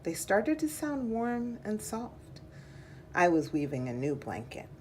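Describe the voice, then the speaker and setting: A woman reading a children's picture book aloud in a measured, deliberate storytelling style. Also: mid-range voice, very animated, slight background noise.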